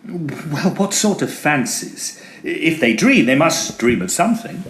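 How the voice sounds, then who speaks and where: A man's voice: medium pitch, husky. A man playing an eager, inquisitive Edwardian ghost hunter in an audio drama, with theatrical, formal diction. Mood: brisk, curious. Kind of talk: audio drama narration and dialogue.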